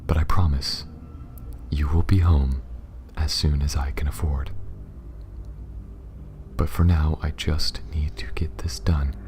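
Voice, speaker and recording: An extremely low, dark voice for a man acting as a gruff alien smuggler in an ASMR roleplay. He talks softly and a little awkwardly, guarded yet protective, close to a whisper at times. Steady ambient noise sits behind him. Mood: apologetic, reassuring. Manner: low-key, hesitant, speaking directly to one listener. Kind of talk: ASMR roleplay audio drama.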